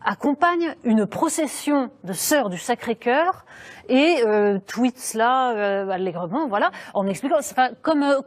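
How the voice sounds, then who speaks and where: A woman's voice: fairly high pitch, animated, measured pace. A woman TV interviewer in a studio who presses her guest with pointed questions. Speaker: interviewer, TV host. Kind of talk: political interview.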